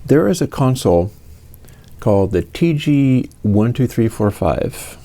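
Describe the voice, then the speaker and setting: A man's voice: medium pitch, big pitch swings, unhurried, mellow. A man in his fifties from the United States, answering viewer questions in a casual, rambling way with many fillers and restarts. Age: 50s.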